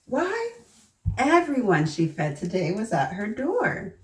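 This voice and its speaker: A woman reading a children's story aloud, pausing to prompt young listeners with questions. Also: fairly high pitch, wildly swooping pitch. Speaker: children's storybook reader and host. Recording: roomy echo, distant mic, faint noise.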